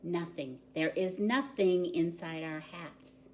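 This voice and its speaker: A woman's voice: fairly low pitch, fairly even intonation, slow, a little mellow, a little hiss or hum. Woman reading as earnest, emphatic storybook townsfolk. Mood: puzzled insistence. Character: luckless simple townspeople, earnest storybook crowd.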